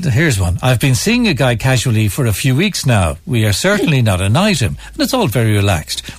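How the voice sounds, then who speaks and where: A man's voice: mid-range voice, husky, no room sound. A man hosting a chatty radio show, reading out listener letters and bantering. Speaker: radio presenter.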